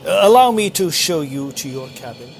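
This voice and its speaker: An actor playing an obliging, deferential Victorian train conductor with formal, drawn-out courtesy, in a period audio drama. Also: male voice, on the high side, expressive, gravelly, faint music behind. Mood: courteous.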